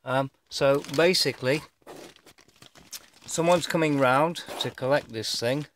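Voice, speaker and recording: A middle-aged man giving a casual unboxing commentary, rambling and thinking aloud with halting phrases as he films by hand indoors. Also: medium pitch, a bit bright, a little hiss or hum. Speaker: vlogger showing his own gear. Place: indoors, bedroom, filming over a bed. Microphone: handheld camera or phone held by the speaker.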